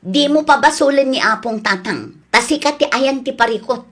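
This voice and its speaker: A woman's voice: sits high, expressive, normal speed. A woman with an Ilocano accent playing a level-headed grown daughter back from abroad in a radio drama, reasoning firmly with her father. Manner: firm, reasoning.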